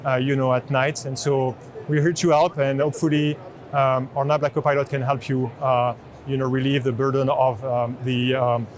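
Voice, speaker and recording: A man gives a spontaneous product demo in an explanatory, halting manner. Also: medium pitch, flat delivery. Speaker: chief product officer giving a product demo. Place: indoor trade show booth in large exhibition hall. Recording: busy convention hall background noise. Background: exhibition hall noise.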